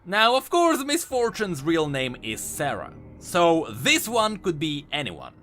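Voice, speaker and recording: A man's voice: on the high side, wildly swooping pitch, a little slow, a bit bright. A man giving enthusiastic, emphatic scripted commentary as the host of a video game lore channel. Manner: emphatic, explanatory. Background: music.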